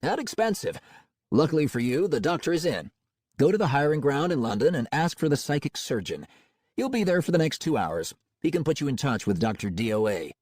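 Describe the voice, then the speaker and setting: A man reading an audiobook, voicing a mysterious man who sounds professionally warm and confident, like a cold-calling salesman. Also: on the high side, very animated, brisk, no room sound, studio quality, pitched above the performer's own voice. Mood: smooth.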